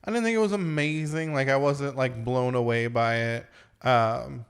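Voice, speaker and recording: Mid-pitched man in relaxed conversation. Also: moderate pace, smooth. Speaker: podcast co-host. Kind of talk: casual movie review chat.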